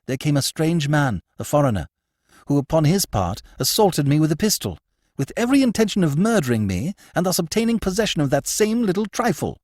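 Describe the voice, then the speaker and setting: A man's voice: a little high, big pitch swings, a little fast, a little mellow, no room sound, clean studio sound, pitched above the performer's own voice. A man reading a story, voicing a shaken honest young man in earnest, old-fashioned formal speech. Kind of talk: audiobook character voice.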